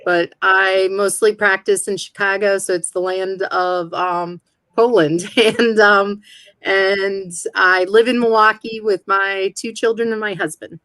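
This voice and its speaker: A woman introducing herself as a game show contestant in a measured, self-deprecating way over a video call. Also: mid-range voice, steady tone, a bit bright, no echo.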